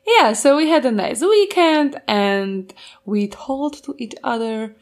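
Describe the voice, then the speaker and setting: A woman's voice: fairly high pitch, animated, slow pace. A woman in her twenties with a Slovak accent, co-hosting a casual couple podcast in English and laughing often. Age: 20s. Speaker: podcast co-host.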